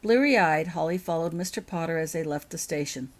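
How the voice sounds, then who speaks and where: A woman narrating fiction as an author reading aloud, in an expressive storybook style. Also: low voice, a little fast.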